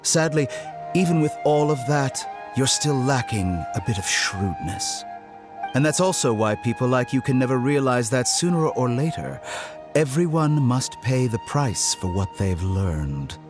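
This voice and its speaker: Casting: male actor as arrogant scholar villain for a video game, theatrical mock courtesy, highly animated intonation, music underneath. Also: unhurried, natural voice. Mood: amused menace. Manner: theatrical, condescending, mock-polite.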